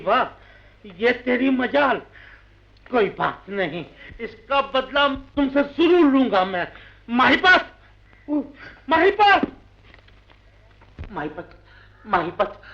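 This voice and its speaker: Shrill, excitable lovesick village man. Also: full character voice. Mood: agitated, jealous. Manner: theatrical, wheedling and blustering. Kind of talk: old Hindi film comedy acting. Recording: dull 1950s film soundtrack, roomy echo.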